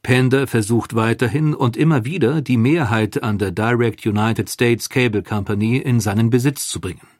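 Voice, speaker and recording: A man narrating a historical audio documentary from a script, in a measured, articulate way. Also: mid-range voice, a little mellow, no room sound, studio quality.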